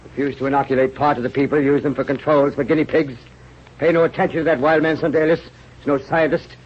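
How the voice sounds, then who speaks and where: A man's voice: fairly high pitch, fairly even intonation, fast, close to an everyday voice. An actor in a 1930s radio drama playing a boisterous, big-hearted male adventurer and rat catcher, declaiming in bombastic broken English. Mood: defiant, stern. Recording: muffled, noisy old radio recording.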